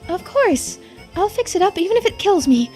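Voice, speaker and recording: Casting: woman playing self-deprecating young bookworm in a game, high expressive voice, apologetic, helpful, music behind. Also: normal speed. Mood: eager. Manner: apologetic, eager to help. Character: earnest, self-deprecating, bookish young friend. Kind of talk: video game character voice-over.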